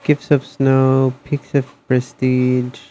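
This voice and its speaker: A man chatting casually on a game livestream in a hesitant, halting manner. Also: medium pitch, completely flat delivery, warm tone, highs cut off. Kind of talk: casual stream chat.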